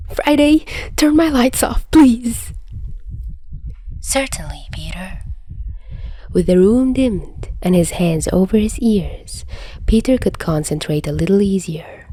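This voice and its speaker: A woman's voice: on the low side, dark tone. A woman reading a scripted story as the narrator of an amateur audio fiction recording. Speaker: podfic narrator.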